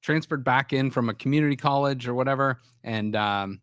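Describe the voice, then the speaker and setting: A man's voice: mid-range voice, brisk, fairly bright tone, clear, resonant tone, dry recording, clean studio sound. A man hosting a sports talk show, with a scratchy voice from a sore throat, rambling with frequent restarts. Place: small studio.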